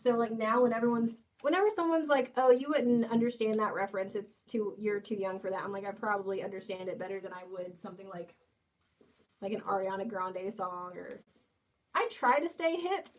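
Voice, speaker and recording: A woman's voice: mid-range voice, fairly quick, low-quality recording. A woman in her twenties hosting a casual livestream, reading chat comments and joking playfully with viewers. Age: 20s. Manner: playful, teasing, jokey asides. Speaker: livestream host.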